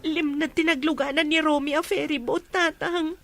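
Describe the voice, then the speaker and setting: A woman's voice: high voice, steady tone, moderate pace, fairly bright tone, no room sound, soft background music. A woman with an Ilocano accent playing an earnest, worried young woman explaining grave matters to her elders in a radio drama. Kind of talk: Filipino radio drama acting.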